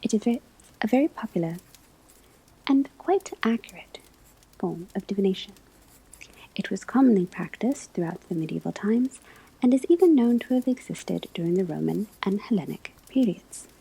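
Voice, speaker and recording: A woman playing a patient, scholarly witch-academy teacher in an ASMR roleplay, lecturing in soft breathy whispers with formal, deliberate phrasing. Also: medium pitch, expressive, heavily acted, rough recording. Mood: instructive, measured.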